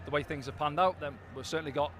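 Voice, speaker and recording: A quick-talking man from Wales gives casual, upbeat pre-match punditry, peppered with "you know". His voice sits a little high, with a faint hum of outdoor stadium ambience behind him. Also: steady tone, a bit bright. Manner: chatty, filler-heavy, upbeat. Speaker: pundit, former player.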